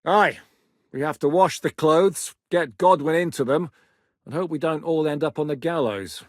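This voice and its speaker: An actor playing a hardened, foul-mouthed war captain in a video game, gruff and commanding. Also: male voice, on the high side, moderate pace, dry recording, studio quality, close to an everyday voice. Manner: gruff, curses freely, barks orders. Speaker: military commander.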